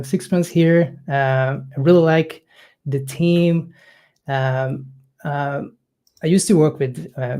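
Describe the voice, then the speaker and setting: A man's voice: on the high side, warm tone. Guy coach, young, lots of ums and uhs, casual chat. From Hungary. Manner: halting, many fillers, non-native phrasing.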